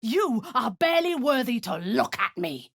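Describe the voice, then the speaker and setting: A woman's voice: on the high side, big pitch swings, moderate pace, dry recording, clean studio sound. A woman voicing a cruel, zealous drow warlord in a video game, giving cold commands that often sink into a menacing whisper.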